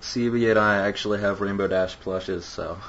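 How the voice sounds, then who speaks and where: A man co-hosting an online radio interview, asking relaxed, conversational questions. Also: fairly low pitch, moderate pace, dull audio. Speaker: radio show co-host.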